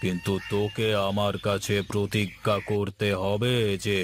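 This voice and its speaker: A man's voice: fairly low pitch, flat delivery, slight background noise, lightly acted. A man voicing a stern, commanding guru in a cartoon, speaking solemnly. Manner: solemn, commanding, slow, chanting mantras at times. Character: stern holy man, a guru laying down rules. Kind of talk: cartoon voice acting.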